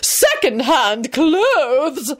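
A woman's voice: sits high, big pitch swings, drawn-out words, voice pushed up, full character voice. A woman voicing a dramatic, jealous upper-class wife in an audiobook, strident, wailing and full of contempt. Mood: shrill contempt.

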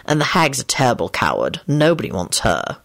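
A woman voicing a calm, wry adult wizard man in a storybook reading. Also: rumbling low, brisk, no echo, voice pushed down, exaggerated voice. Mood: wry explaining.

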